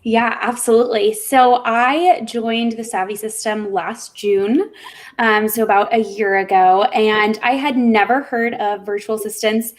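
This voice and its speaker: A chatty, upbeat woman tells her story as a guest on a video call panel. Her voice sits a little high. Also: fairly even intonation, a little slow. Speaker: business owner guest.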